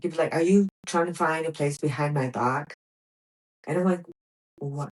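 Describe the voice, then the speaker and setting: A woman's voice: deep voice, moderate pace, rough recording. A young woman from Greece, hosting a podcast and telling a personal story in a candid, emphatic way, repeating words for stress. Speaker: podcast host.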